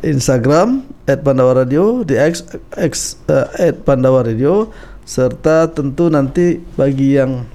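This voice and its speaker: A male radio host chats loosely in the studio and fills his pauses with fillers. His voice is gravelly and a little congested from a cold. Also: mid-range voice, mellow. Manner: chatty, loose with frequent fillers and laughs. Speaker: radio talk show host. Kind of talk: casual radio interview.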